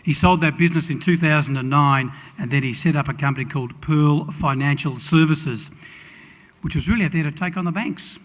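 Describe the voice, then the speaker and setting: A man's voice: a little high, brisk, fairly bright tone. A man hosting a seminar, introducing the guest speaker with many hesitations in an expo hall. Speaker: event host introducing the speaker. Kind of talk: introductory remarks. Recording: reverberant hall.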